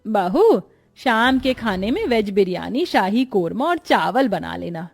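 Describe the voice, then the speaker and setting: A woman's voice: mid-range voice, sing-song, unhurried, vocal fry, faint music behind, full character voice. A woman voicing a middle-aged mother-in-law who gives commanding orders in a cartoon family story. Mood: ordering. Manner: commanding, matter-of-fact demands. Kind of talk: animated moral story dialogue.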